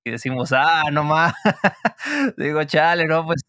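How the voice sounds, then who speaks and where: Bright-voiced Mexican man, casual. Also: on the high side, takes it slowly, no echo, studio quality. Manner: relaxed, slangy, many fillers. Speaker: guest, co-creator of a Spanish-learning channel. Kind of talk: casual interview chat.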